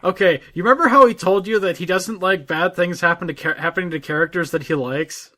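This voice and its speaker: A man's voice: sits high, fairly quick, bright, forceful tone. A man co-hosting a gaming podcast, chatting casually and informally, often repeating himself as he makes his point. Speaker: co-host of a gaming discussion podcast. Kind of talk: casual chat.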